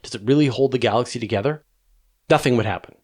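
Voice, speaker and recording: A man's voice: medium pitch, fast talker, darkish tone. A middle-aged man hosting a space question-and-answer show, explaining conversationally with emphatic stresses and rhetorical questions.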